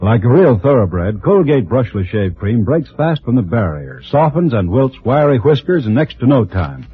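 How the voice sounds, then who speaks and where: Male announcer, 1940s radio commercial read, very rough husky voice, lively intonation, badly muffled old broadcast. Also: mid-range voice, moderate pace.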